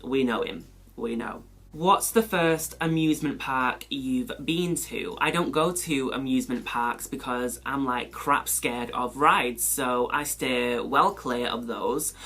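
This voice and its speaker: A young man with a Mancunian accent, talking in a mid-pitched voice. He rambles chattily, filling gaps with "like" and "you know", and his voice jumps high when he exclaims. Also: slow pace, pushed and bright. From England. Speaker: beauty vlogger. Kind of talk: casual chat answering a question tag.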